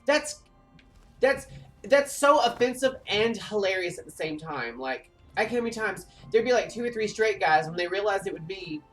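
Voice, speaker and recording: A man in his thirties with a light, high voice, telling stories in animated, chatty gameplay talk. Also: moderate pace. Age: 30s. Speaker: streamer. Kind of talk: casual gameplay chat. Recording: voice a bit off-mic with faint game music.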